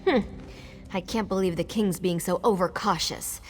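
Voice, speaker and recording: A woman's voice: on the low side, normal speed, natural voice. A woman voicing a confident, wry scholar adventurer in a video game, with casual delivery. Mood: wry, friendly. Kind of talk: scripted game dialogue. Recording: long hall reverb. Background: game music.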